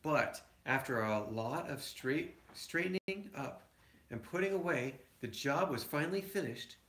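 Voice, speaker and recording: A man's voice: a little high, animated, measured pace, a bit bright. A man reading a picture book aloud to his children, with conversational asides. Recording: echoey room.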